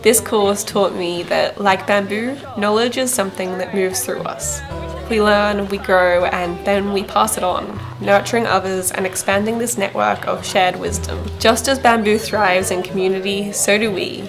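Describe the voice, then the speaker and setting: A woman's voice: mid-range voice, steady tone, normal speed, vocal fry, music in the background. A woman narrating a vlog in a scripted voice-over, reflective and sincere. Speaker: vlogger, narrator.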